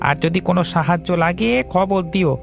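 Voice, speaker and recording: A village neighbour in a cartoon folk drama. He has a very high male voice and talks in a kindly, solicitous way, with faint music underneath. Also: steady tone, brisk. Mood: gentle, concerned. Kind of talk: Bengali cartoon drama acting.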